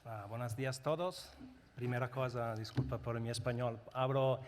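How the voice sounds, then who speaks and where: A mid-pitched man with a clear Italian accent speaks in a language he is still learning. His delivery is halting, and Italian words mix in. The voice sits in a reverberant conference hall. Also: steady tone, a little mellow, a little hiss or hum. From Italy. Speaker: panelist, vendor representative. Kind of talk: conference panel talk.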